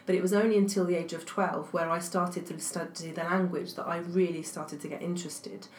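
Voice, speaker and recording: A woman with a lowish voice whose first language is French, speaking as an academic guest in an interview. She talks in a thoughtful way and fills her pauses with plenty of ums. The recording has a roomy echo and a faint hiss underneath. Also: fairly even intonation. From England. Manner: thoughtful, many fillers and restarts. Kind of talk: interview answers. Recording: echoey room, faint noise.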